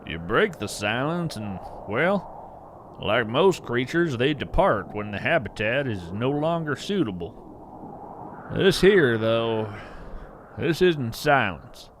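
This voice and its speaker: A man playing an earnest wandering naturalist in scripted audio fiction, narrating in a measured, poetic way with deliberate pauses. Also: mid-range voice, very animated, a little hiss or hum. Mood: quiet wonder. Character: earnest, wonder-struck wandering naturalist of hidden creatures. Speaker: podcast host narrator in character.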